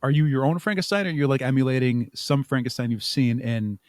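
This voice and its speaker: A man with a mid-pitched voice chats as an interview guest. He answers in a thoughtful, wandering way and plays himself down. The sound is dry and close, with little room in it. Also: a little fast, a little mellow, no echo. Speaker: podcast guest, audio drama creator. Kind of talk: casual interview conversation.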